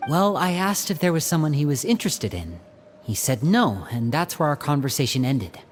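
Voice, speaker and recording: An actor playing a composed, loyal young man in a video game, speaking in a measured, explanatory manner. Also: on the high side, sing-song, faint music behind. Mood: calm, reminiscing.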